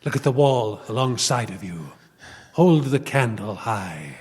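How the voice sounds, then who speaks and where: Man acting slow, solemn vengeful corpse, echoing. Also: mid-range voice, big pitch swings, a little mellow. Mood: ominous. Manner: solemn, deliberate, incantatory. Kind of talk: old-time radio horror acting before a live audience. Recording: long reverb of a live hall.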